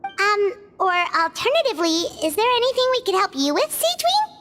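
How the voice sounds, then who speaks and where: A woman voicing a tiny childlike floating companion in a video game, excitable, blunt and eager, with a squeaky delivery. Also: normal speed, full character voice. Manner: squeaky, exclamatory, indignant or eager. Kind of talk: English video game voice acting.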